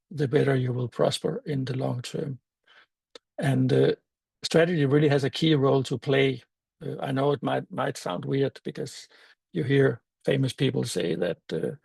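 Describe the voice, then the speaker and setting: Gravelly older man with a Danish accent. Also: mid-range voice, darkish tone, no room sound. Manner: thoughtful, halting with fillers and restarts. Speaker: meetup speaker. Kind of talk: conference talk.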